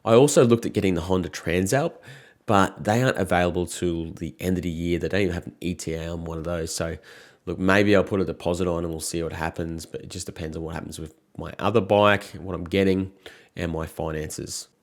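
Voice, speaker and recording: A man in his thirties, croaky from a cold, chatting casually and frankly to camera as a motorcycle vlogger. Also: low voice, steady tone, a little fast.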